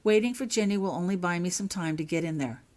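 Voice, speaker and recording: A woman's voice: on the low side, fast, a little mellow, natural voice. A woman acts out a young male wizard in a storybook reading. He is earnest and determined, speaking bluntly and with some urgency. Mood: resolute.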